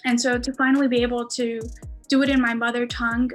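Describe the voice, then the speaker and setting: This is a chatty young woman, a native Armenian speaker, talking as a podcast guest. Her voice sits a bit higher than usual. She fills her talk with "like" and laughs easily. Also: flat delivery, quick pace, faint music behind. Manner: chatty, many "like"s, laughs often. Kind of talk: casual storytelling.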